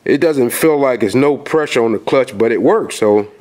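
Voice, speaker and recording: A man with a cold and a nasal voice, filming a folksy, rambling walkthrough of a dark house basement on a handheld camera, with lots of fillers and restarts. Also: mid-range voice, moderate pace. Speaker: outdoors video host. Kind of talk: casual vlog walkthrough.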